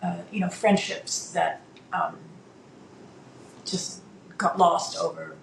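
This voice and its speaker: A woman's voice: on the low side, steady tone, slow, creaky. A woman in her fifties whose first language is Dutch, a guest talking casually and hesitantly, with many fillers and restarts. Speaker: interview guest. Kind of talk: casual conversation. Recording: echoey room with faint background noise.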